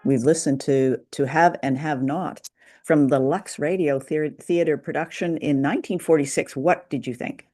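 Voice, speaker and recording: A man co-hosting a podcast in casual discussion, with a halting delivery. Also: fairly high pitch, fairly even intonation, dark tone, soft background music. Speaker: podcast co-host.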